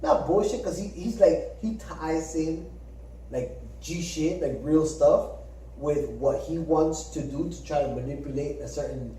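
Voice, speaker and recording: Podcast guy chatting loose, dark mellow voice, sounds far off in a really echoey room, bit of hiss. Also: a little high, a little slow. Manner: animated, swearing, acts out quotes. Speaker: podcast co-host. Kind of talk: casual chat.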